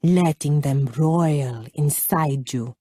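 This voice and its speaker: A woman playing a dashing, self-assured foreign baron with a foreign accent and a careful, deliberate, teasing delivery. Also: deep voice, mellow, no room sound, studio quality. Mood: teasing, probing.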